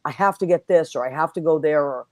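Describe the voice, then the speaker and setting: A woman hosting a casual online talk show, whose first language is Italian, chatting and giving friendly shout-outs with plenty of fillers. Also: low voice, fast, crowd noise. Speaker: host. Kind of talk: casual chat.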